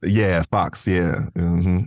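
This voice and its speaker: A man's voice: low voice, fairly even intonation, extremely slow, a little mellow, no room sound, clean studio sound. An American man talking casually as a guest on a podcast. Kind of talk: casual chat.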